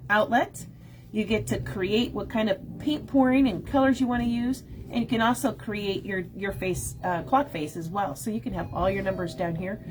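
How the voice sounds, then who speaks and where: Scratchy-voiced woman hosting a live stream. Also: mid-range voice. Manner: friendly, greets viewers by name, many "um"s. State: scratchy, a bit nasal from a cold. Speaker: shop owner hosting a craft livestream. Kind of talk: casual live-stream chat.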